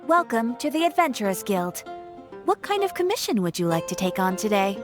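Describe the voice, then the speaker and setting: A woman's voice: mid-range voice, sing-song, a little fast, over music, lightly acted. A woman voicing a courteous, formal guild receptionist in a video game, polite and helpful. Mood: welcoming. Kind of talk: scripted video game character acting.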